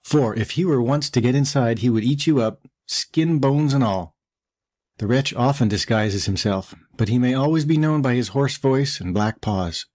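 A man's voice: mid-range voice, moderate pace, darkish tone, lightly acted. A man voicing an old mother goat in a storybook, earnestly cautioning her young. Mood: caring, cautioning.

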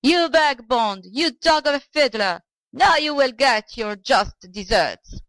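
A woman's voice: mid-range voice, expressive, moderate pace, fairly bright tone, no room sound, pitched above the performer's own voice, lightly acted. A woman voicing a stingy, whining and vengeful old man from a storybook, exclaiming and pleading. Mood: indignant, vengeful. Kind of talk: storybook character voice.

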